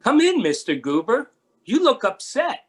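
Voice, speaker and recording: A performer playing a kindly, lonely, mild-mannered man in a readers theatre children's story, with a husky, hesitant delivery. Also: medium pitch, wildly swooping pitch, unhurried, exaggerated voice. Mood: concerned.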